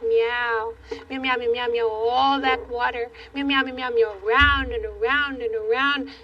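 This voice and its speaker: A woman voicing a gentle female pussycat puppet in a children's show, soft and small, meowing between words. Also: a little high, steady tone, unhurried, far from the mic, dull audio, natural voice. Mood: gentle, earnest. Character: gentle female pussycat puppet who peppers speech with meows. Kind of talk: children's television puppet play.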